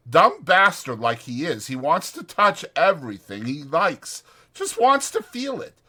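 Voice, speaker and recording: A man voicing an exasperated but protective ranch hand in a dramatized audiobook, blunt and scolding, with a rough folksy drawl. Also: high voice, bright, forceful tone, pitched above the performer's own voice, heavily acted. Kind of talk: dramatized audiobook character acting.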